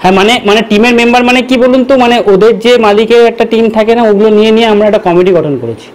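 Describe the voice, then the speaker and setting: A man's voice: high voice, racing, darkish tone. A man with a Bengali accent making an improvised prank phone call, talking in a pushy, insistent way. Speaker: prank caller.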